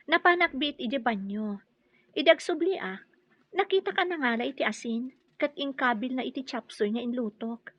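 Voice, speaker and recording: An actress playing a dutiful young Filipino daughter-in-law who stands up for herself in an Ilocano radio drama. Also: female voice, fairly high pitch, no room sound, lo-fi sound, close to an everyday voice.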